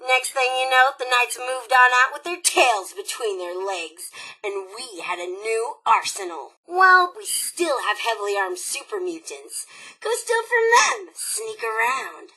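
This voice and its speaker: A woman voicing a cheerful, bloodthirsty female raider robot in a video game, brash, sarcastic and excitable, with a sharp, forceful delivery. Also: a little high, measured pace, breathy edge, exaggerated voice, rough recording. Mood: scornful, gossipy. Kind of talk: animated video game character acting.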